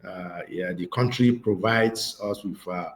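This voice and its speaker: A man from Nigeria, a guest on a video call, answering questions in a measured way with many hesitation fillers. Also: sits low, slight background noise. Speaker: guest, writers' association secretary general. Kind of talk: interview answers.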